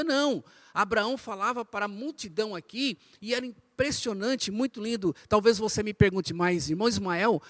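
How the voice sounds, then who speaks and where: A man from the São teaches a church Bible lesson, didactic, repeating phrases and asking rhetorical questions. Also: high voice. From Brazil. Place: inside a church, speaking to a congregation.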